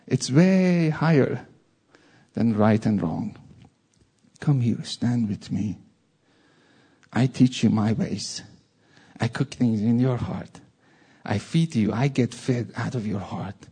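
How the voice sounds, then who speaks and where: A man's voice: medium pitch, takes it slowly, pauses often, mellow, breathy edge. A man from Iran with a Persian accent, giving an informal sermon with jokey asides. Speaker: preacher.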